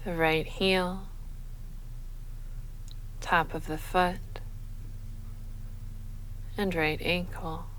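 A woman leading a guided sleep meditation as a yoga nidra guide, speaking in a measured, soothing, unhurried manner. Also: on the low side, poor audio. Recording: faint steady background noise.

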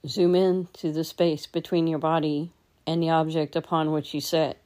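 A woman leading a guided meditation as a teacher, speaking in a gentle, unhurried, instructing way. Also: low voice, little pitch movement.

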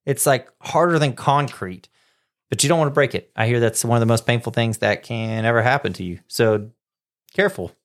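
Chatty man hosting school radio. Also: mid-range voice. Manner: chatty, joking. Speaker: school radio show host. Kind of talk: casual radio show hosting.

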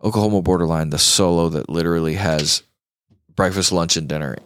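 A man's voice: sits low, steady tone, fairly quick, a bit bright, slight background noise. A man hosting a casual guitar talk show, chatting in an informal, emphatic, slangy way. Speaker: show host.

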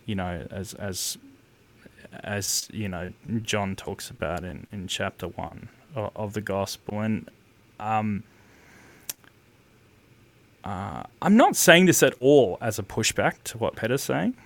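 Low-voiced man rambling hesitantly. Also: lively intonation, takes it slowly, gravelly, pauses often, a little hiss or hum. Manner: rambling, hesitant, self-deprecating. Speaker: guest music producer. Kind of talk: casual interview talk.

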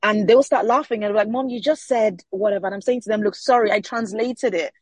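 A woman's voice: mid-range voice, fairly quick, darkish tone, husky, no room sound. A Nigerian woman in her forties, an author answering interview questions thoughtfully, often repeating words as she searches for them. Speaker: author guest.

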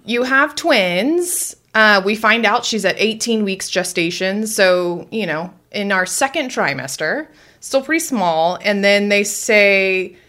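A woman's voice: mid-range voice, expressive, slow, sharp tone. Woman podcast host, chatty and explainy, laughs easily, just talking casually. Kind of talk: casual conversational podcast commentary.

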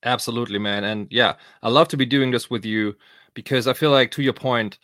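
A man's voice: mid-range voice, fairly even intonation, brisk, fairly bright tone, no echo, studio quality. A middle-aged man from Germany, a native German speaker with a German accent, co-hosting a podcast in casual, loose, colloquial chat. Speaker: podcast co-host.

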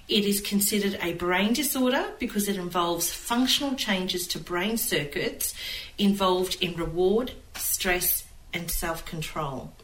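A woman's voice: mid-range voice, a bit bright. Woman chatting in an echoey room. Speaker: talk show host. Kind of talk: casual conversational chat. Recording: echoey, distant mic, faint noise.

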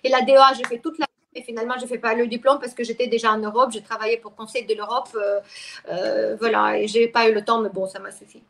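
High-voiced woman. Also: flat delivery, quick pace, creaky, a bit bright. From Ukraine. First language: Russian. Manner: talkative, many restarts and fillers. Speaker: guest expert. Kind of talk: interview conversation.

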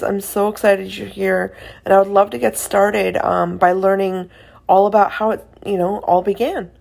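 A woman hosting an interview podcast, upbeat and quick with short affirmations. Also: lowish voice, vocal fry, darkish tone. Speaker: podcast host.

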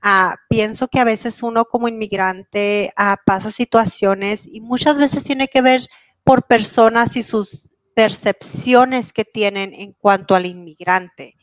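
A woman's voice: mid-range voice, slow, no room sound, poor audio. A woman guest in an interview, speaking earnestly and encouragingly. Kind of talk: interview answers.

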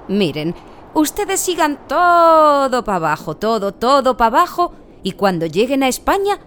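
A woman acts out a folksy, self-satisfied Arctic local in a radio comedy. She is blunt and emphatic, with swooping, lively intonation over faint ambient noise. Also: sits high, a little slow, full character voice. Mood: matter-of-fact. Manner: colloquial, emphatic, deadpan boasting. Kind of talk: comic radio play acting.

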